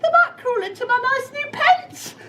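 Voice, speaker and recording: A woman voicing a timid, easily frightened male cook in an outdoor storybook performance, stammering and squeaking with panic. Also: big pitch swings, slow pace, heavy background noise. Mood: scared. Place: back garden outdoors.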